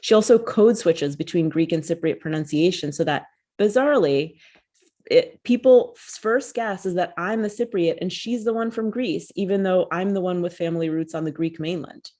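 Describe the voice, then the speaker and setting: A woman author reading her own personal essay aloud, at a measured and reflective pace, over a video call. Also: fairly low pitch, warm tone. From United States.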